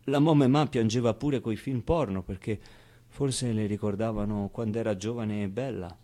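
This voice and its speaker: An actor playing a wistful, streetwise man who recalls his orphaned boyhood in an expressive, colloquial theatrical monologue. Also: on the low side, lightly acted. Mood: nostalgic. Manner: expressive, clownish, with pauses and repeated phrases. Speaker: stage actor. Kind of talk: theatrical monologue, colloquial first-person storytelling.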